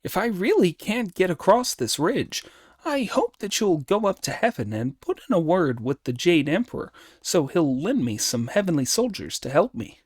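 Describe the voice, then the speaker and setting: Man voicing a brash, high-pitched monkey hero. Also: big pitch swings, normal speed, a little mellow, no room sound, clean studio sound. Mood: grateful. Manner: exclamatory, cocky. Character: brash, boastful, excitable male monkey hero. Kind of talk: storybook character dialogue.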